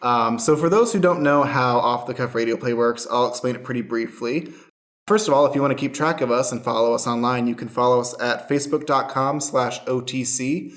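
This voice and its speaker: A man's voice: mid-range voice, fairly quick, a bit bright. A man hosting and explaining an improvised radio play podcast as its stage manager, in a conversational presenting style. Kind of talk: friendly scripted-style show introduction and explanation.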